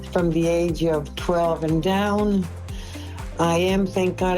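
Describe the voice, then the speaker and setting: A woman's voice: mid-range voice, a little mellow, poor audio. A woman in her seventies with a Brooklyn accent, telling her own story as a podcast guest in a heartfelt, rambling way. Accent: Brooklyn New York. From Brooklyn, New York. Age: 70s. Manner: emotional, heartfelt, rambling with restarts. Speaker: guest, liver transplant recipient. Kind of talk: casual personal storytelling.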